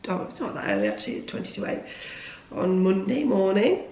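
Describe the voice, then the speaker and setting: A woman's voice: mid-range voice, wildly swooping pitch, brisk, gravelly, warm tone, echoey room. A young woman who has lost her voice after a cold, chatting casually and rambling to camera from home. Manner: chatty, rambling, self-deprecating. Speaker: vlogger. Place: at home, indoors.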